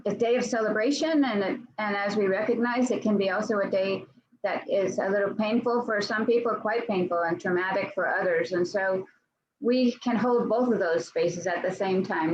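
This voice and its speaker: A woman's voice: medium pitch, darkish tone. Woman minister on a dull, noisy call. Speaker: church minister. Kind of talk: congregational address. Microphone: video call. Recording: dull lo-fi video call, background noise.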